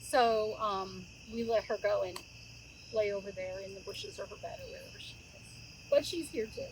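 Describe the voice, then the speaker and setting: A woman's voice: medium pitch, sing-song, normal speed, low-quality recording. A middle-aged woman hosting a children's story time, chatting informally to young listeners and addressing them directly. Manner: conversational, addresses listeners with questions. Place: outdoors by a campfire in woods. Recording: outdoor recording with clear insect noise. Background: crickets and insects, outdoor ambience.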